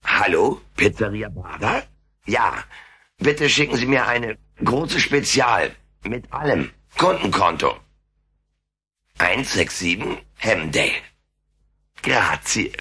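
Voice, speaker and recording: An actor voicing a droll, hungry, wisecracking furry alien in an audio drama. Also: male voice, fairly low pitch, expressive, slow, long pauses, sharp tone, husky, heavily acted. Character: wisecracking, ever-hungry furry alien telling his story. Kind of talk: German audio drama dialogue and first-person narration. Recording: faint hiss on old cassette.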